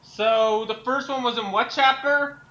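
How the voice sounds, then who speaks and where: A casual speaker with a bright voice talks slowly and hesitantly. The audio is dull and lo-fi. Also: medium pitch, fairly even intonation. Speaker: fan fiction author joining the reading. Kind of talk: casual chat.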